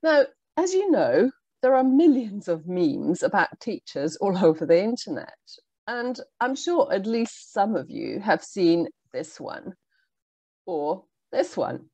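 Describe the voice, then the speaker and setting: A woman's voice: medium pitch, warm tone, no echo. Polish woman giving a teacher workshop. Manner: measured, explanatory, with pauses and fillers. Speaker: teacher trainer. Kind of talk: presentation to teachers.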